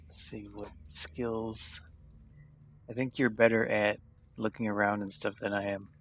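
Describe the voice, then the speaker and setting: Male tabletop player, husky and slow, lowish pitch, casual game chat. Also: little pitch movement, fairly bright tone.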